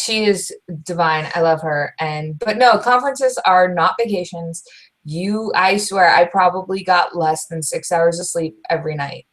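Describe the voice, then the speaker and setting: A young woman co-hosting a podcast, talking loud and fast in casual chat, full of restarts. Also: medium pitch, low-quality recording. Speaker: podcast co-host.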